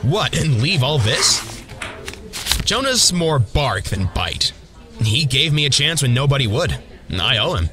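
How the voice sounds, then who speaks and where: A man as an animated, easygoing young dad. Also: mid-range voice, a little slow, faint music behind. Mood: wry, friendly. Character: good-humoured young dad and photographer, secret superhero. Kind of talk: motion comic voice acting.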